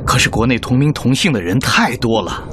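An actor playing a sincere, courteous Chinese relief doctor, speaking earnestly and politely in a radio drama. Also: male voice, mid-range voice, animated, brisk, very noisy, lightly acted. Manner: earnest, polite, clearly projected. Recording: loud music under the voice.

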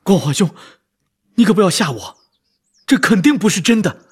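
Husky, emotive loyal soldier. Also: male voice, sits high, expressive, normal speed, darkish tone, exaggerated voice. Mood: shocked, disbelieving. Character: loyal army officer, anxious, warm younger brother-in-arms. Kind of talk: broadcast radio drama acting.

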